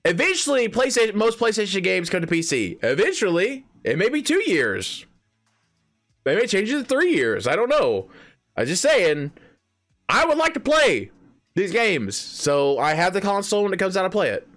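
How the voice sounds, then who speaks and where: A man's voice: high-pitched, very animated, normal speed, fairly bright tone, faint music behind. A thirty-year-old man giving his opinions in a casual gaming vlog, rambling and emphatic, with restarts, slang and the odd swear word. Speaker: gaming video creator.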